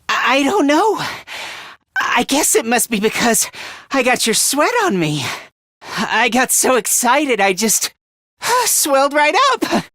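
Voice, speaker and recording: A man voicing a timid, self-deprecating yet excitable teenage boy in a video game, with a hesitant, stammering delivery and theatrical anime-style acting. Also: very high-pitched, no room sound, clean studio sound, exaggerated voice. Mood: giddy. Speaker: voice actor.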